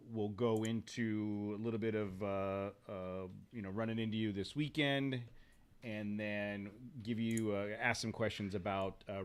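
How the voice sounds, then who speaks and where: A man's voice: on the low side, smooth. A man hosting a podcast, chatting casually and rambling with many restarts as he sets up and opens the show. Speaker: podcast host. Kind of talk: casual chat.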